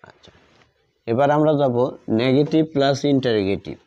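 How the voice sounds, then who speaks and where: A man with a Bengali accent teaches grammar as if dictating, deliberately repeating phrases piece by piece. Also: medium pitch, mellow. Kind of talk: dictated grammar lesson, written out step by step. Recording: dull lo-fi microphone sound.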